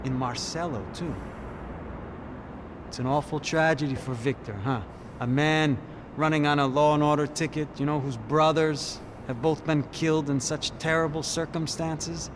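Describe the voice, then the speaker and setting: An actor playing a shrewd, sardonic police detective in a video game, with a knowing, mocking delivery. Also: male voice, on the high side, fairly even intonation, moderate pace, lightly acted. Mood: knowing, ironic. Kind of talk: video game cutscene dialogue. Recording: loud car and street noise behind.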